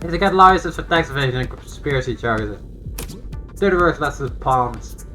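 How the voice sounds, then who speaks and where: An actor voicing a brash, teasing young joker in a video game, animated and full of slangy exclamations. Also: medium pitch, normal speed. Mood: breezy. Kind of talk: voiced visual novel dialogue. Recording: game audio with music behind.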